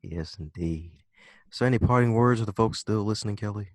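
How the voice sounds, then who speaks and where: A man's voice: on the low side, a little fast, a little mellow, no echo, studio quality. A man hosting a podcast interview, upbeat and playful, repeating his catchphrases. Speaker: podcast host. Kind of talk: casual interview chat.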